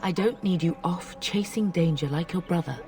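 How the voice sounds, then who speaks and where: An actress playing a middle-aged noblewoman mother, gentle but firm, in a video game. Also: fairly low pitch, normal speed, far from the mic, soft background music, natural voice. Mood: gently firm.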